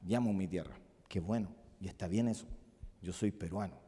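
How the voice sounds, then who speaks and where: A male pastor with a Chilean accent preaching a sermon, moving between loud emphatic peaks and soft, musing asides. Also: low voice, normal speed. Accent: Chilean Spanish. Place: church hall. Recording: long reverb of a church hall.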